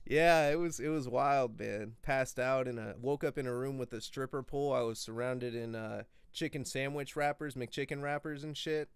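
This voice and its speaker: A man's voice: medium pitch, moderate pace, hardly any pauses, slight background noise. A man in his late twenties hosting a podcast, telling a rowdy story in casual, profane chat. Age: 20s. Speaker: podcast host.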